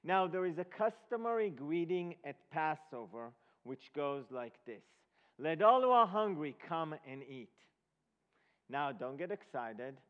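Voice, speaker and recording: A man from Israel, preaching and teaching a congregation in a halting, questioning manner. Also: on the high side, very animated, clean tone. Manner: halting, asks the listeners check questions. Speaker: guest preacher leading a Passover presentation. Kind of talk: sermon, ceremonial teaching to a congregation. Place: large reverberant room before an audience. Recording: dull lo-fi recording in a reverberant hall.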